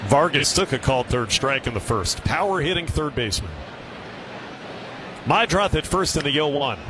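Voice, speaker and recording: American guy doing radio baseball play-by-play, slightly higher voice, clear stadium noise behind him. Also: moderate pace. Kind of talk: live radio sports commentary. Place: stadium broadcast booth. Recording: noisy ballpark ambience behind. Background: steady ballpark noise.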